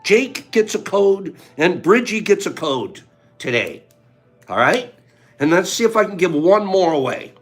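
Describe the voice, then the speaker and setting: A man's voice: high-pitched, very animated, unhurried, soft background music. A man hosting a casual livestream, chatting with viewers and running giveaways in a playful, teasing way. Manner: playful, teasing, talks straight to chat. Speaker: livestream host.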